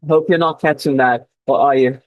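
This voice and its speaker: A man's voice: mid-range voice, fairly even intonation, normal speed, dull audio. A young man from Brazil with a Brazilian Portuguese accent, answering interview questions in a conversational way with frequent restarts and fillers, speaking from home on a remote call. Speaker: guest researcher.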